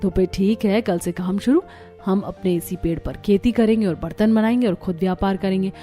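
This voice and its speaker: A woman voicing a calm, wise elder sister-in-law in a cartoon, soothing and measured. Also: medium pitch, faint music behind, pitched below the performer's own voice, close to an everyday voice.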